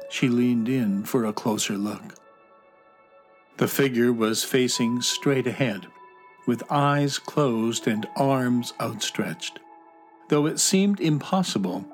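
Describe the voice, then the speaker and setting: A man narrating a children's fantasy audio drama in a measured, descriptive way. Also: mid-range voice. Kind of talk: scripted storybook narration.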